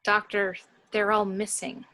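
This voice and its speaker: A woman's voice: mid-range voice, level tone, a little slow, pushed and bright. A woman playing a confident, flirtatious morgue doctor in an informal script read, wry and matter-of-fact. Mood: insistent.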